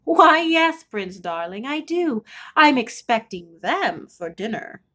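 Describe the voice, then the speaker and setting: A woman's voice: a little high, very animated, slow, a bit bright, full character voice. A woman voicing a sly, hungry storybook witch who coaxes with false sweetness. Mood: sly, coaxing.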